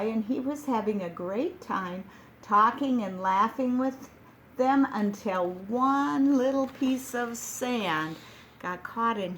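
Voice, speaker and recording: A woman reads a picture book aloud to children, unhurried and expressive, lingering on words for effect. Her voice sits a little high, and a faint hiss runs under the recording. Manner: gentle, expressive, slow with dramatic pauses.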